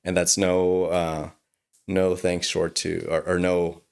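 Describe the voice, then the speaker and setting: Low-voiced young man, earnest and reflective. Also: flat delivery, takes it slowly, vocal fry, clear, resonant tone. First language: English. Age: young adult. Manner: reflective, earnest, laughs easily. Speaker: attorney guest. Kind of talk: casual interview conversation.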